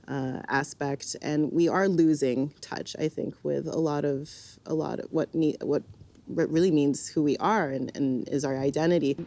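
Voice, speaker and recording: Low-voiced woman, thoughtful. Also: darkish tone. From Canada, Vancouver. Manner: thoughtful, with fillers and restarts. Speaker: interviewee, community organizer. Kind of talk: documentary interview.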